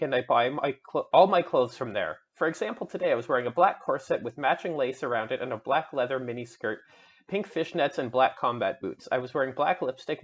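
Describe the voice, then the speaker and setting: A man's voice: mid-range voice, slightly rough, highs cut off. A man voicing a melodramatic teenage goth vampire schoolgirl in an emphatic, petulant dramatic fan fiction reading. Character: melodramatic seventeen-year-old goth vampire schoolgirl narrator.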